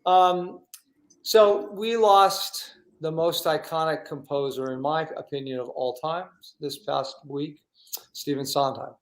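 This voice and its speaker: A man hosting a casual talk show, speaking in an earnest, effusive and halting way in an echoey room. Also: fairly high pitch. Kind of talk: casual interview chat. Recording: roomy, echoing sound.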